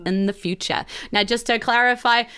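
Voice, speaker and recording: A woman's voice: fairly high pitch, steady tone, moderate pace, pushed and bright, clean tone. A woman with a New Zealand accent, narrating a tabletop role-playing game in a casual, enthusiastic, improvised way as game master and host.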